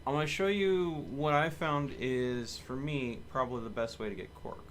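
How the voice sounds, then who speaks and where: A man with a mid-pitched voice streams a game and talks through it casually, as if to company. Clear background noise and game ambience sit behind his speech. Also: normal speed. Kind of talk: casual live gameplay commentary.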